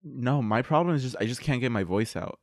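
A man's voice: medium pitch, expressive, fairly quick, darkish tone, no room sound, studio quality. A young man speaking as a podcast host in casual, slangy chat. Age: young adult.

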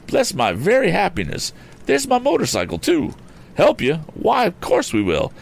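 Man playing an excitable eccentric gentleman, pitched high. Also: moderate pace, vocal fry, exaggerated voice. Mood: delighted surprise. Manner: flustered, exclamatory, emphatic. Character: eccentric, excitable gentleman motorist, blustering and good-natured. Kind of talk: audiobook character voice.

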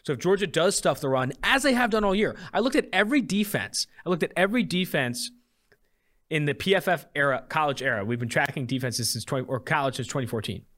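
Higher-pitched man talking sports betting. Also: expressive, fast, a bit bright. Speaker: sports podcast host. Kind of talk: casual sports betting analysis chat.

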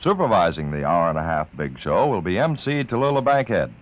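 A male vintage network radio announcer reading a promo. His voice sits somewhat low and moves with very lively intonation. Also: fairly quick.

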